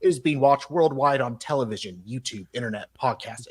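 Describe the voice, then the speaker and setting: A man's voice: medium pitch, a little fast, husky. A man on a live panel, giving dramatic readings of emails.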